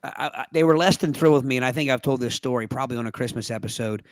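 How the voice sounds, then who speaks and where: A man co-hosting a casual podcast, with a lingering cough. He rambles through stories in a mid-pitched voice. The mic is close and dry. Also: rapid-fire, no echo. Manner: chatty storyteller, rambling restarts. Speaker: podcast co-host.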